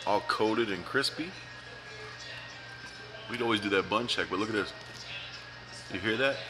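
Food vlogger, thirties, very low voice, eager and jokey, lapel mic in a diner, music under it. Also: male voice, measured pace, poor audio. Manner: enthusiastic, joking, talks while eating. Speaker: food reviewer. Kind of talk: casual food review vlog. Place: restaurant dining room, indoors.

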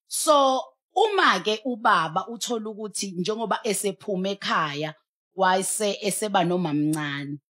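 A woman's voice: mid-range voice, lively intonation, measured pace, fairly bright tone. A South African woman speaking as a pastor on a studio talk show, emphatic and exhorting, mixing in English. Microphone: headset.

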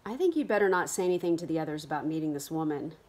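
A woman reading the lines of a serious, cautious middle-aged Puritan aunt who gently admonishes her niece. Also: deep voice, animated, rapid-fire.

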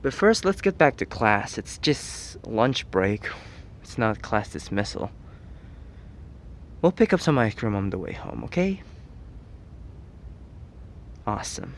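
A man voicing a caring but teasing teenage boy best friend in an ASMR roleplay, hesitant and soft-hearted while feigning reluctance. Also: on the low side, big pitch swings, slow pace, pauses often, slight background noise, full character voice. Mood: fond, casual.

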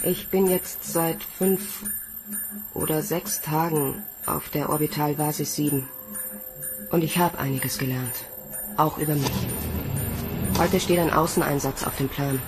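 A woman's voice: low-pitched, steady tone, unhurried, pauses often, faint music behind. An actress playing a hot-tempered young female space recruit in a German audio drama, blunt and emotional. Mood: wary, reflective. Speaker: voice actor, lead and narrator.

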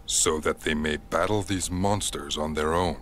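A man's voice: very deep voice, a little slow, a bit bright, faint music behind. A man voicing a grave, middle-aged fatherly king in a fantasy game, formal and regal. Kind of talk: video game voice acting.

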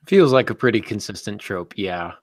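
A man's voice: lowish voice, lively intonation, moderate pace, no echo. A middle-aged man hosting a casual live podcast in a dry, joking manner, close to a desk microphone. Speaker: podcast host and football coach. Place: indoor office, on a video call. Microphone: close desktop microphone.

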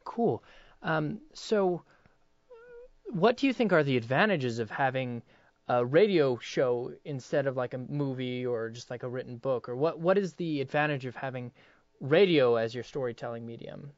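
A man's voice: medium pitch, expressive, normal speed, no echo, highs cut off. A young man hosting a casual interview in a hesitant, loosely phrased way. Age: young adult. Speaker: interviewer and voice actor.

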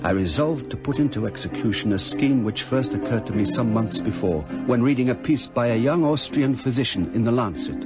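Actor as expressive English gentleman narrator over music. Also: medium pitch, close to an everyday voice. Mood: grave. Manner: measured, literary, formal. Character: sober English gentleman doctor narrating his memoir. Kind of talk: dramatised first-person scripted narration. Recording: dull radio recording with music underneath.

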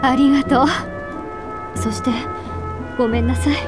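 A woman voicing a composed, polite young church woman in a Japanese video game, formal, refined and gently firm. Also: high voice, steady tone, moderate pace, over loud music, natural voice. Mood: apologetic.

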